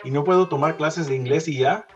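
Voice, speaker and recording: A man's voice: a little high, fast talker, soft background music, lightly acted. A man playing a questioning young orphan boy in an audio story, on a dull recording. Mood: puzzled, questioning. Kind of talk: dramatized short story reading.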